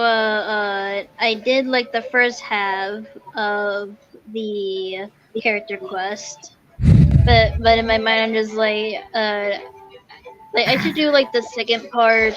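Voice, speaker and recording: A woman chatting casually in a hesitant, halting way. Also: medium pitch, a bit bright, muffled recording. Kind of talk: casual chat.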